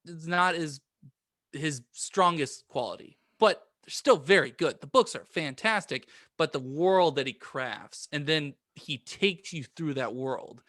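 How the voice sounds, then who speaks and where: A man's voice: on the high side, lively intonation, unhurried, a bit bright, dry recording, studio quality. A man co-hosting a podcast, chatting casually and earnestly about books and repeating himself for emphasis. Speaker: podcast co-host. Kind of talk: casual book chat.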